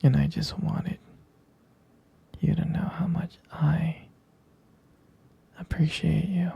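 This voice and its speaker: Man playing slow, gravelly whispering vampire boyfriend. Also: on the high side, exaggerated voice. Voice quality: breathy whisper with gravelly fry. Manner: slow, halting, softly repeating himself. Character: adoring, tender male vampire lover soothing his sweetheart. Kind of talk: ASMR boyfriend roleplay, intimate whispering.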